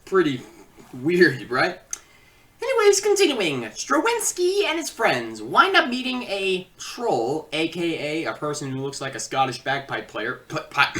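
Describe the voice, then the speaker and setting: A woman's voice: fairly low pitch, bright, forceful tone, distant mic. A woman giving a casual, comedic review with sarcastic, rambling asides. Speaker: video reviewer.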